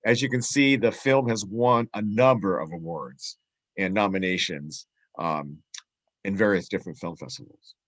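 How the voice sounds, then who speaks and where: A man hosting a casual talk show, excitable and chatty, sometimes halting with fillers when summarizing. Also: low-pitched, dry recording, low-quality recording. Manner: excitable, laughs easily, swears casually. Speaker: show host and interviewer. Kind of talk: casual chat and interview.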